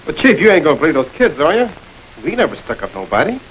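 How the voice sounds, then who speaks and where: An actor playing an excitable gang member protesting to his boss in an old crime film. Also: on the high side, quick pace, lo-fi sound, slight background noise. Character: jittery gang member, man.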